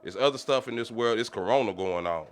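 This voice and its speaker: A man's voice: lowish voice, fast talker. An American man on a podcast panel, arguing his opinion in an insistent, colloquial way and repeating his points. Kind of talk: casual opinionated debate.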